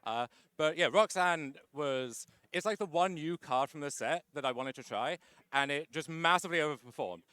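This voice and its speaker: A man's voice: fairly high pitch, normal speed, bright, forceful tone, clear, resonant tone, dry recording. A man being interviewed after winning a card tournament, chatty and wry, with lots of "uh" fillers. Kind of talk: casual post-match interview.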